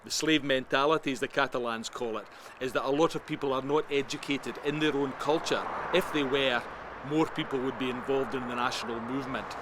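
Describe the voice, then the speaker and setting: A man's voice: medium pitch, a bit bright. Scottish man, earnest interview voice, emphatic, outdoor street noise. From Scotland. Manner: earnest, builds to emphatic lists.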